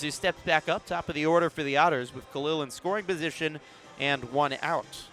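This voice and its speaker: Guy with a highish voice doing baseball radio play by play at the ballpark, measured but punchy on the calls. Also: pushed and bright. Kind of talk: live play-by-play commentary. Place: outdoors at a ballpark.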